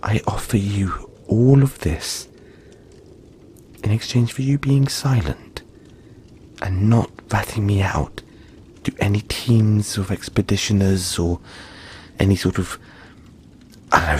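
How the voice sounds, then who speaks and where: A man voicing a gentle, cuddly, long-lived yeti in an intimate audio roleplay, speaking reassuringly with breathy, dramatic pauses. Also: low voice, slight background noise.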